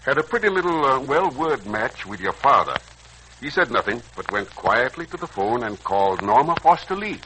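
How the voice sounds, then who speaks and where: An actor playing a genial, folksy, teasing older uncle in a 1940s radio serial. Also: male voice, mid-range voice, big pitch swings, moderate pace, close to an everyday voice. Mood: wry. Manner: jovial, folksy. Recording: old radio recording, faint noise.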